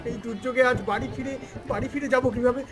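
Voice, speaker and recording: A man's voice: very high voice, fairly even intonation, very fast, music in the background. A man voicing a humble, sorrowful but hopeful village father in a cartoon, speaking earnestly. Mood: alarmed. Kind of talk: cartoon voice acting.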